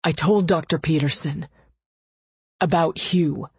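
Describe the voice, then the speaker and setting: A woman voicing an anguished, brooding man in an audiobook romance, raspy and halting as he pleads. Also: low voice, no echo, heavily acted. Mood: tense, confessing. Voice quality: raspy, rough-throated. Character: anguished gruff man, desperate brooding lover.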